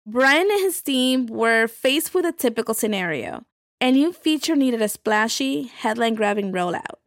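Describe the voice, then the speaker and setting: A woman's voice: a little high, animated, normal speed, no echo, clean studio sound. A woman hosting a podcast, reading scripted narration. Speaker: podcast host. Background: music bed.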